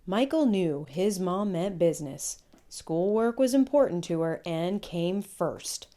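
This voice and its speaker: Lady reading a kids book out loud, mellow, expressive, measured storyteller. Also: lowish voice. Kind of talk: children's picture book read-aloud.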